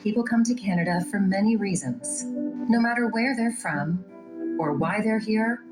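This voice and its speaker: A woman's voice: mid-range voice, moderate pace, loud music behind the voice. A woman reading a scripted public service announcement.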